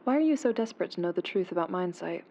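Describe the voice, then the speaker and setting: A woman's voice: fairly low pitch, animated, fast talker, dark tone. A woman playing a guarded, sly anonymous informant in an audio drama, terse and controlled over a phone line. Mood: coolly teasing. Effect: phone-call filter. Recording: dull muffled phone line.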